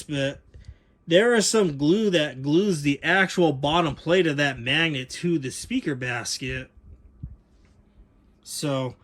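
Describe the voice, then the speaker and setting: An American man talks in a voice pitched a little higher than most men's. He sounds like a hobbyist vlogger walking viewers through gear without a script. His delivery is folksy and conversational, wandering from one point to the next. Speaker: vlogger showing vintage audio gear. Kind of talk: casual unscripted product walkthrough.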